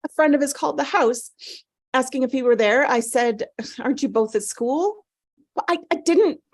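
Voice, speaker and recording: A woman's voice: sits high, normal speed. A woman playing a traumatised, apologetic mother in a dramatic table read, faltering and repeating herself. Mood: shaken, recounting.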